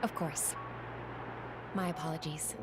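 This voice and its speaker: A woman's voice: deep voice, steady tone, measured pace, heavy background noise. A woman playing a serene, courteous envoy in a video game drama, speaking in a polite, formal, composed way. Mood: gentle, courteous. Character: serene, courteous female envoy to a high priest. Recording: loud music behind.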